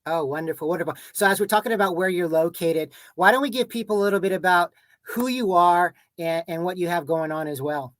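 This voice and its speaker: A man's voice: high-pitched, fairly quick, a bit bright, no room sound. Upbeat Texan man hosting a podcast. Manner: upbeat, stumbles and repeats words, says "obviously" and "mm-hmm". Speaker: podcast host. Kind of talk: casual interview hosting.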